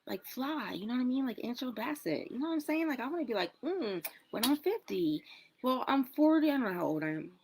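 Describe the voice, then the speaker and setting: A woman in her forties talking in a casual social media video about her health, in a chatty, dramatic way, with sudden jumps between loud and soft. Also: high voice, very animated, quick pace, a little hiss or hum. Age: 40s. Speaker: wellness product promoter in a clip.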